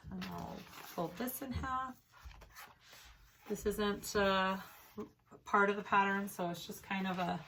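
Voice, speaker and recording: A woman talks through a sewing project step by step in a relaxed, chatty way, thinking out loud as she works. Her mid-range voice sounds a little strained, as if she is still recovering from being sick. A faint noise sits under the recording. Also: medium pitch, gravelly. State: voice strained from recent illness. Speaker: sewing tutorial host. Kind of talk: casual step-by-step craft tutorial.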